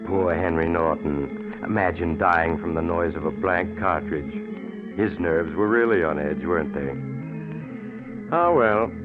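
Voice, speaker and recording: A sly, ghoulish radio host with a deep voice. He narrates in a wry, conversational 1940s manner. Music plays behind him on a thin, narrow old recording. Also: measured pace. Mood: sly amusement.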